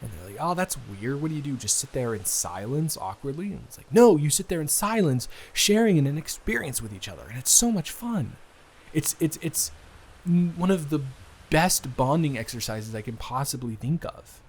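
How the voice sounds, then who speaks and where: A man's voice: fairly high pitch, big pitch swings, normal speed, a little mellow, breathy edge, exaggerated voice. Man playing an awkward, stammering, smitten young guy. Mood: excited, earnest. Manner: hesitant, stammering, rambling, apologetic. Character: awkward, earnest, smitten young man, best friend's brother. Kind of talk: improvised intimate roleplay audio.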